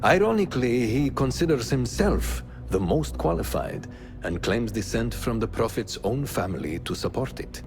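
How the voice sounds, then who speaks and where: A grave, wise assassin mentor. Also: male voice, medium pitch, sing-song. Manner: measured, grave and advising. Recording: game audio with music.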